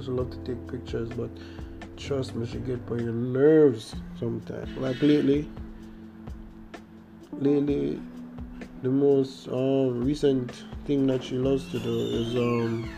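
Slow, gravelly Jamaican man podcasting. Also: medium pitch, flat delivery, poor audio. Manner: rambling, hesitant, many fillers and restarts. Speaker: podcast host. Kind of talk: casual storytelling monologue. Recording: guitar music playing behind.

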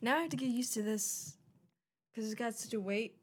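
A woman co-hosting a casual podcast, with a soft voice and a teasing, giggly manner. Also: fairly high pitch, brisk, over loud music. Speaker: podcast co-host. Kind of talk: casual chat.

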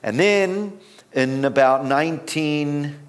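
A man in his fifties with a New Zealand accent, giving a sermon as a guest speaker and often stopping on fillers and restarts. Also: on the high side. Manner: halting, with many fillers and restarts.

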